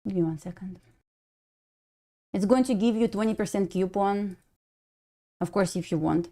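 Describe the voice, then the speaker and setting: A woman's voice: medium pitch, moderate pace, warm tone. A woman from Belarus with a Russian accent, casually commenting on a live trading stream, thinking aloud and answering chat. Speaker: day-trading livestream host.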